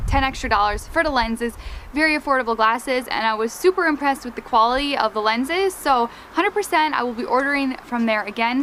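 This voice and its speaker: A woman's voice: on the high side, pushed and bright, a little hiss or hum. Chatty young woman with Wisconsin accent. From United States, Wisconsin. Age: young adult. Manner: chatty, many "like" fillers. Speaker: vlogger. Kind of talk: casual Q and A chat to camera.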